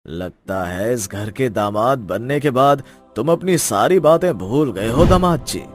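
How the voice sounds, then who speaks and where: A man's voice: mid-range voice, lively intonation, a little slow, faint music behind. A man playing a haughty, condescending middle-aged father-in-law in a dramatized moral story, commanding and mock-polite. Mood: sarcastic, condescending.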